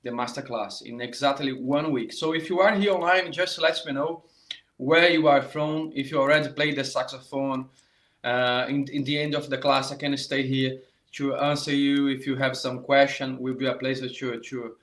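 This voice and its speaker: A man's voice: medium pitch, steady tone, moderate pace, a bit bright. A middle-aged man from Brazil with a Brazilian Portuguese accent, a saxophone teacher speaking to viewers in a live online masterclass, often repeating words as he searches for them.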